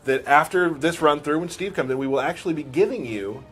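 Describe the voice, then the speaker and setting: A man's voice: fairly high pitch, a little fast, fairly bright tone, slightly rough, soft background music. A man co-hosting a live game stream in a conversational way, asking questions and summing things up for the others. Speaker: co-host of a game livestream. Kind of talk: casual live chat and interviewing.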